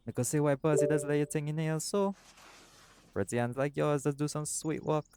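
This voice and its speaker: A man voicing a doting, laid-back seagull shifter boyfriend in an intimate audio roleplay, speaking softly and affectionately. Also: fairly high pitch, little pitch movement, fairly quick, background noise, natural voice. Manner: soft-spoken, affectionate, creole-inflected speech.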